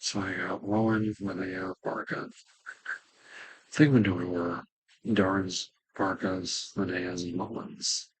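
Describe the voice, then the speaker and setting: A man's voice: low-pitched, little pitch movement, slow, slight background noise. A man streaming a game, casually thinking aloud and muttering to himself as he plays. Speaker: gaming streamer. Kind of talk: casual play-along muttering.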